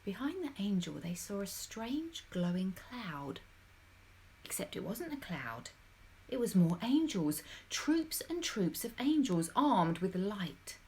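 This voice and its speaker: Expressive woman reading a children's story. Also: mid-range voice, slow, slight background noise, low-quality recording. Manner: animated, dramatic, addressing young listeners directly. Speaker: storybook reader.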